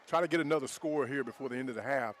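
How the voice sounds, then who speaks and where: A man's voice: mid-range voice, racing. A man giving conversational live analysis of a football game as the coach in the booth, explaining plays in plain-spoken terms. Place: outdoor high school football stadium. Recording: faint outdoor stadium ambience noise. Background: faint outdoor ambience.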